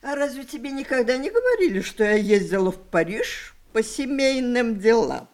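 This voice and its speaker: A woman's voice: on the high side, sing-song, a little mellow. A spirited, theatrical old grandmother. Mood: mischievous, proud. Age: older. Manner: theatrical, emphatic, with drawn-out words. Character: spirited, rebellious 106-year-old grandmother, mischievous and sharp-tongued. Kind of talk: stage-style audio play acting.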